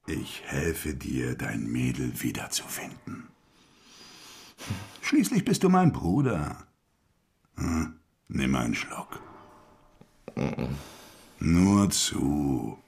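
An actor playing a cynical, streetwise hustler who needles and schemes in slangy talk, in a German radio crime drama. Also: male voice, very deep voice, wildly swooping pitch, extremely slow, vocal fry, pauses often, darkish tone, breathy edge, full character voice. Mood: coaxing.

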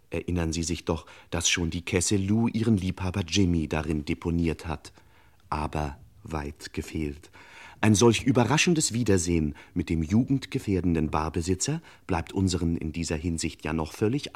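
A man's voice: deep voice, darkish tone. A man playing a pompous, mock-solemn narrator of a radio crime parody, speaking in ornate, courteous phrases with dry irony. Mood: teasing suspense. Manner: ornate, mock-formal, addresses listeners with exaggerated courtesy. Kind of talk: scripted radio crime serial narration, ironic.

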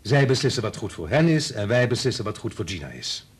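Cynical, belittling husband in a radio play; low voice, swooping, persuasive delivery. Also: male voice, big pitch swings, a little fast, slightly rough, exaggerated voice. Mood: pushy, persuasive. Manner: sardonic, dismissive, persuasive. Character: cynical, pushy biologist husband and father, belittling. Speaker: radio drama actor. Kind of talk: Dutch radio play acting.

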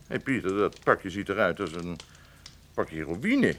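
An actor playing a smug drug smuggler with a falsely affable, patronising manner in a radio play. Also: male voice, sits low, sing-song, unhurried, fairly bright tone, heavily acted. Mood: smug, falsely friendly. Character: smooth-talking, smug male drug smuggler, falsely friendly. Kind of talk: Dutch radio play acting.

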